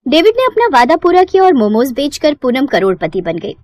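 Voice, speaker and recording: A woman's voice: sits high, very animated, brisk, darkish tone, dry recording. A woman reading scripted narration as the storyteller of a moral tale.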